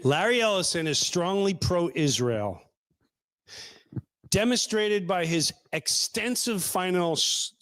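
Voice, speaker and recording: A man hosting a casual talk show, sarcastic and animated, now and then slipping into mocking voices. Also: a little high. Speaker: podcast host.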